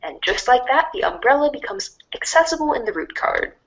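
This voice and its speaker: Very animated woman storybook narrator. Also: fairly high pitch, fairly quick, rough recording. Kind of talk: scripted storybook read-along narration.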